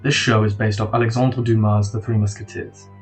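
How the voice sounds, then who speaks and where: A man's voice: fairly low pitch, flat delivery, fairly quick, faint music behind. A man reading scripted end credits for an audio drama as its announcer.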